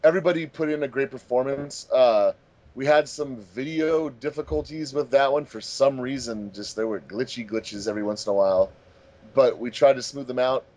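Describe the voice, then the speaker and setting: A man with a mid-pitched voice hosts an informal group commentary. He rambles a little, hesitates as he goes and hands out compliments in a conversational way. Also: moderate pace, a little mellow. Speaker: host of a fandub commentary track.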